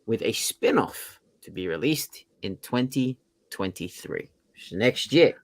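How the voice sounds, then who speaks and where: A man's voice: medium pitch, sing-song, measured pace. A man co-hosting a podcast in casual, slangy film chat. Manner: slangy, informal. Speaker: podcast co-host.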